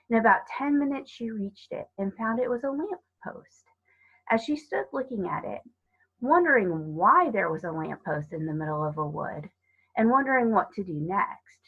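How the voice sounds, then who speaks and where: A woman's voice: mid-range voice, a bit bright, husky. A woman narrating a children's story dramatically, dropping into whispers for emphasis, on a slightly dull recording. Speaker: storybook reader.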